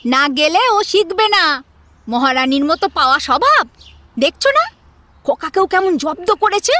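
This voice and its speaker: A woman's voice: very high voice, sing-song, a little slow, bright, forceful tone, no room sound, heavily acted. A woman playing a scheming, bossy middle-aged mother-in-law in an audio drama. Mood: scolding. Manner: bossy, pointed.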